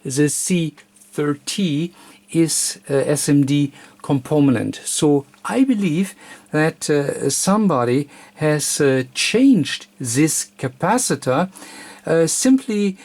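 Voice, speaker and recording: A man presenting an unscripted hands-on electronics tutorial, thinking aloud in a halting, hesitant way. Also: a little high, animated, a little mellow. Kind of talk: unscripted hands-on technical demonstration.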